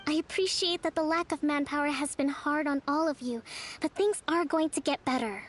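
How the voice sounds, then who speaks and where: A woman playing a composed, caring young resistance leader in a video game, speaking in a formal, measured, earnest way. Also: sits high, close to an everyday voice. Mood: reassuring. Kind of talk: scripted video game dialogue.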